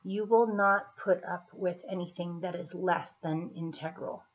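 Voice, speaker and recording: A woman with a slightly hoarse, scratchy throat, giving a casual tarot reading in an unhurried, deliberate manner with pauses as she explains the cards. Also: on the low side, fairly even intonation. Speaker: tarot reader. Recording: dull, muffled lo-fi audio.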